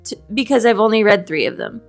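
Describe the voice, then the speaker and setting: A woman's voice: mid-range voice, expressive, fast, faint music behind. A woman chatting casually and animatedly with a friend as a co-host, giving opinions in a playfully indignant, slangy way. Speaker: co-host of a bookish video show.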